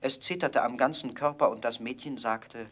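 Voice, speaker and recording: A male storyteller reads a children's fairy tale. His voice sits at a medium pitch, and he phrases each sentence in a measured, expressive storybook way. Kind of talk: scripted fairy-tale narration.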